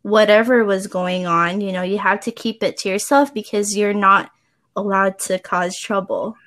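A woman's voice: medium pitch, steady tone, moderate pace, a bit bright. A woman from the Philippines in casual conversation, agreeing often and rambling as she reflects on fitting in. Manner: agreeable, frequent backchannels, rambling. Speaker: conversation partner.

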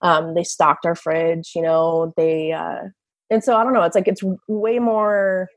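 A woman's voice: lowish voice, flat delivery, measured pace, dry recording. A woman working as an enologist with a big voice, chatting casually with many fillers in an interview.

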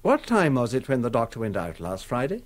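An actor playing a middle-aged, sceptical gentleman in a radio drama, well-spoken, dry and questioning. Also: medium pitch, wildly swooping pitch, a little fast.

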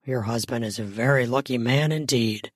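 Woman putting on a deep, husky, lustful man. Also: no room sound, clean studio sound. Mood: awed, admiring. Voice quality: low husky murmur. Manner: slow, muttering through clenched teeth. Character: lustful, admiring adult male lover, gruff and cocky.

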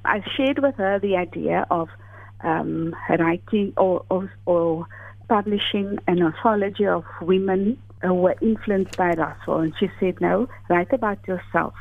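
A woman's voice: fairly low pitch, a little slow, creaky, no echo. An older South African woman whose first language is Afrikaans, speaking as an author in an interview, thoughtful and hesitant with many fillers. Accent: South African English. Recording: tinny phone line.

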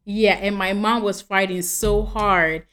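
A woman's voice: mid-range voice, steady tone, slow pace, fairly bright tone. Chatty Nigerian-born woman telling stories. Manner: chatty, backs up her co-host, emphatic. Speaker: podcast co-host. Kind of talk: casual chat.

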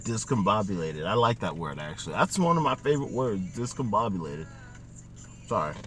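Rambling man, voice going hoarse. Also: fairly low pitch, very animated, a little fast, a bit bright. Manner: rambling, self-mocking, laughs often. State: losing his voice. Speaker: co-host. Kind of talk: casual podcast chat. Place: in a car.

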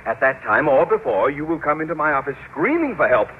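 Pompous scheming principal, tinny old radio. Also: male voice, a little high, very animated, brisk, slight background noise. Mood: urgent scheming. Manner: pompous, self-important. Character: pompous, scheming middle-aged school principal angling for promotion. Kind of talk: old-time radio sitcom acting.

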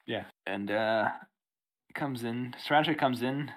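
A man's voice: mid-range voice, unhurried, low-quality recording. A man co-hosting a casual podcast, recapping a comic book with dry jokes. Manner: laid-back, recaps plot with dry jokes. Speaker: podcast co-host.

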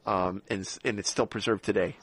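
A man's voice: on the low side, steady tone, moderate pace, fairly bright tone, husky. A man, chatting casually as the host of a podcast interview. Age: 50s.

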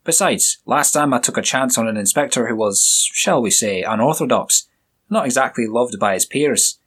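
A man's voice: animated, normal speed, a bit bright, husky. A man doing a hearty Scottish police sergeant. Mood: jovial. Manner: booming, jovial, probing questions.

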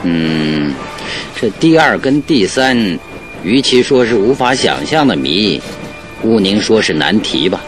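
A man in a Chinese radio drama playing a calm, self-assured detective who speaks in a deliberate, instructive way. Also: lowish voice, over music. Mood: reflective.

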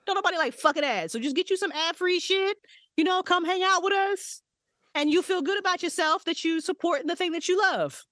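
A woman's voice: very high voice, a little fast, no echo, clean studio sound. A woman in her forties, a podcast co-creator, chatting casually as a guest, swearing freely and laughing often. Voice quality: profane, animated, laughing often. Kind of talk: casual chat.

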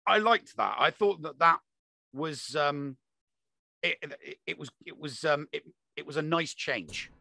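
Gravelly, bright-voiced man arguing his point. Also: on the high side, expressive, unhurried, no echo, studio quality. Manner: emphatic, insistent, repeats himself for emphasis. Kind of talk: opinionated casual discussion of a mobile game.

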